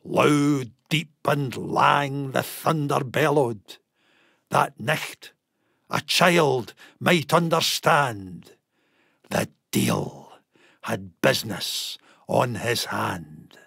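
A Scottish man reciting a narrative poem in Scots in a theatrical, deliberate, emphatic storytelling manner. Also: mid-range voice, expressive, creaky, a bit bright, breathy edge, no room sound, studio quality.

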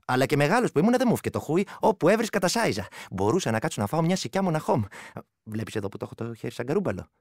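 An ageing village veteran with a rural Greek accent. His voice is creaky and animated as he reminisces in a folksy, chatty way, recorded close and dry for a radio play. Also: on the high side, dry recording. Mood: fond reminiscing. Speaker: actor.

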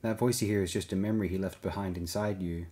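An earnest young Jedi hero in an audiobook, read by a male narrator. He has a low voice and a measured, sincere, gently reassuring way of talking. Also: steady tone, close to an everyday voice. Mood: quietly firm.

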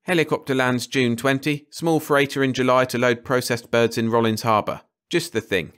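Brisk, clipped male ecologist, raised pitch acted by a man. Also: steady tone, a bit bright, clear, resonant tone, close to an everyday voice. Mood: brisk, businesslike. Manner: clipped, telegraphic phrases. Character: brisk, absent-minded, enthusiastic male ecologist. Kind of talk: audiobook character dialogue.

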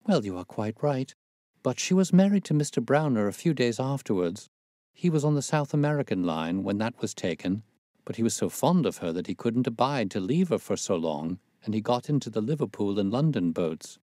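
A man's voice: medium pitch, sing-song, fairly quick, dark tone, no echo, studio quality, voice pushed up, lightly acted. A man voicing a weary, talkative middle-aged spinster in a storybook reading, plaintive and gossipy in old-fashioned phrasing. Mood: confiding, regretful.

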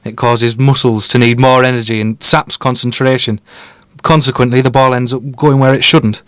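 Male actor as cocky detective genius; deadpan, teasing, mid-pitched, close dry sound. Audio drama. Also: normal speed, clear, resonant tone, no echo, lightly acted. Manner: deadpan, matter-of-fact. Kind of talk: podcast-style audio drama dialogue.

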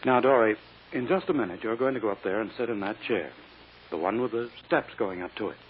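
An actor playing a controlling prosecutor who talks down to a child, smooth and insistent, in a radio drama. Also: male voice, lowish voice, fast talker, close to an everyday voice. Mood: coaxing. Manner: patronizing, insistent. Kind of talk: 1950s radio drama acting. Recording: dull noisy old radio recording.

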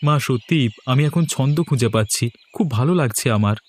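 A man's voice: mid-range voice, fairly quick, darkish tone, lightly acted. A man voicing a writer son-in-law in a cartoon who speaks earnestly and admires nature. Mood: admiring. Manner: earnest, wistful. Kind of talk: cartoon voice acting.

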